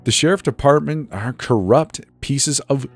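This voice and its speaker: A man's voice: mid-range voice, a little slow, soft background music, pitched above the performer's own voice. A man voicing a cocky, defiant teenage boy, mocking and breezy, in a dramatic story reading.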